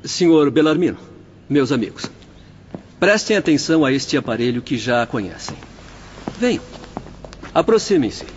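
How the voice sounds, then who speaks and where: An actor playing a kindly, patient doctor in a spirit-world infirmary, courteous and reassuring, in an audiobook drama. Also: male voice, on the high side, very animated, normal speed, pauses often, a little hiss or hum. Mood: calm, instructive. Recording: dull lo-fi audio, faint music behind.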